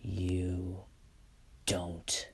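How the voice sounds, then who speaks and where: A man's voice: completely flat delivery, very slow, creaky, heavy background noise, full character voice. Man doing a whispering vengeful fallen angel. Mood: menacing. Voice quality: low menacing whisper.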